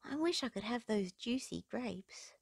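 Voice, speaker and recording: A woman's voice: fairly high pitch, animated, a little slow, vocal fry, husky, dry recording, clean studio sound, pitched above the performer's own voice, heavily acted. A woman voicing a thirsty, proud fox in a storybook, with a haughty, self-muttering manner. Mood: thirsty longing.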